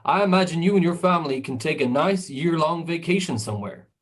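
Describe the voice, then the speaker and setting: A man acts out a smooth-talking Hollywood director in a radio play. His voice is somewhat high for a man and husky. He is businesslike and persuasive, offering money freely. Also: animated, a little fast. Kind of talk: amateur radio drama acting.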